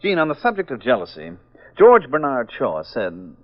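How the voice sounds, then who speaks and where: An actor playing a wry detective husband in an old radio mystery, with a dry, clipped manner. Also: male voice, mid-range voice, wildly swooping pitch, moderate pace, loud music behind the voice. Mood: flustered. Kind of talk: 1940s radio mystery acting. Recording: muffled old radio recording.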